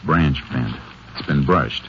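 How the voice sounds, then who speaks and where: A man's voice: extremely low pitch, slow pace. An American man playing a stern, laconic Texas Ranger in 1950s radio western style, speaking in a terse, matter-of-fact way. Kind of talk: 1950s radio acting and first-person narration. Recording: muffled, hissy 1950s radio recording.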